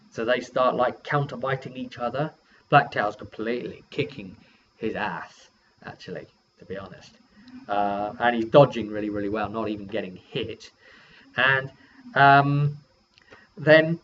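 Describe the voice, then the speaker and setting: A man's voice: medium pitch, fairly bright tone. A man speaking as a game master, casually rambling through a recap of a session and acting out players' reactions as he goes. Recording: muffled lo-fi with background hiss.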